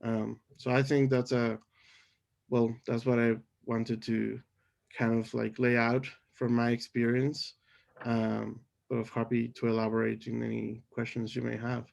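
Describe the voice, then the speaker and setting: An earnest man on an online panel. He speaks in a level, even tone and pauses often to find the right word. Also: medium pitch, creaky. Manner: earnest, searching for words. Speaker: panelist, early-career science writer.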